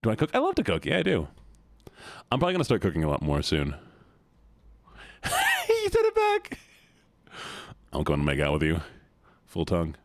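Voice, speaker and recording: A young man with a deep voice talks loosely to his stream chat, joking and laughing as he goes. His pitch swings widely for comic effect. He is close to the mic in a dry, dead room. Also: huge pitch swings, moderate pace, pauses often, no echo. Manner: goofy, playful, laughs often. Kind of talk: casual livestream chat.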